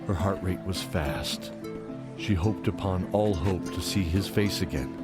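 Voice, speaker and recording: Deep-voiced male fantasy narrator. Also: unhurried, over loud music. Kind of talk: scripted dramatic fantasy narration.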